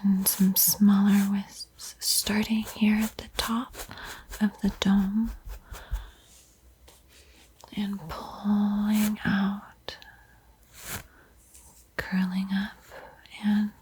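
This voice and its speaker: A woman whispering softly and breathily in a soothing, playful ASMR roleplay as she guides a painting tutorial. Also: medium pitch, monotone, slight background noise. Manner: slow, soothing, playfully teasing. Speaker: art tutorial host.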